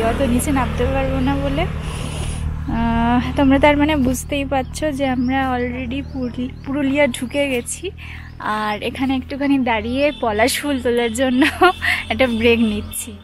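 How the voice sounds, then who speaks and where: A woman's voice: high voice, steady tone, moderate pace. A woman in her thirties narrating a road trip vlog, chatting directly to viewers while filming on her phone. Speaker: travel vlogger. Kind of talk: casual travel narration. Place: car and roadside outdoors. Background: background music.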